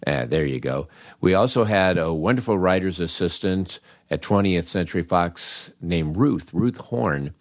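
Older man, American accent, deep voice, dry close recording, wry anecdotal storytelling, podcast host. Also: a little slow, dry recording. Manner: wry, animated, acts out dialogue in his stories. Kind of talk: conversational humorous anecdote storytelling.

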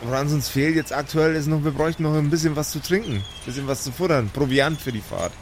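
A man's voice: a little high, fast, a little hiss or hum. A man improvising as an easygoing boat skipper in a tabletop game, casual and slangy. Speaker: player voicing a small-boat skipper. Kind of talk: improvised roleplay and scene description.

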